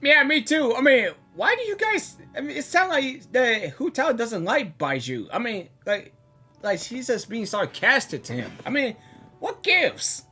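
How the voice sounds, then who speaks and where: A woman's voice: fairly high pitch, big pitch swings, a little slow, pushed and bright, husky. A woman giving spontaneous reaction commentary on a game, exclaiming and repeating her words. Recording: game music behind the voice.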